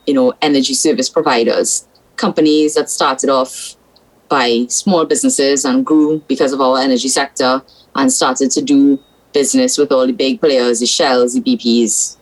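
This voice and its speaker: Blunt Trinidadian woman senator, low voice, talk-show guest. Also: fairly even intonation, normal speed, poor audio. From South Trinidad. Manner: blunt, frank opinions. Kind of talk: political talk show discussion.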